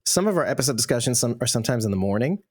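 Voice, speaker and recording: A man's voice: medium pitch, very animated, racing, a little mellow, no room sound, studio quality. Chatty male podcast host. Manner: chatty and playful, tangents and self-deprecating asides. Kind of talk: casual chat.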